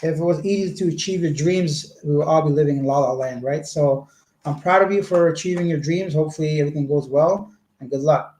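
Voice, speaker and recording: A man's voice: a little high, fairly quick, darkish tone, slight background noise. A man from Canada chatting casually on a livestream, answering viewer comments with long, rambling explanations. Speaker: vlogger. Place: indoor home room. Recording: echoey room.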